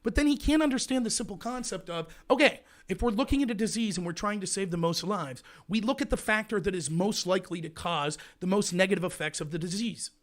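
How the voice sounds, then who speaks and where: A man hosting a live political show, ranting in a loud, indignant voice. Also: high-pitched, brisk, few pauses. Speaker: livestream show host. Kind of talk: heated political commentary.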